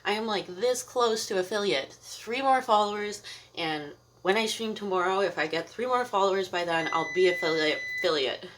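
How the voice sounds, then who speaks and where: A woman's voice: mid-range voice, normal speed, fairly bright tone, a little hiss or hum, poor audio. A young Canadian woman from Ontario chatting casually on a live cooking stream at home, rambling with fillers and laughing often. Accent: Canadian English. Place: home kitchen.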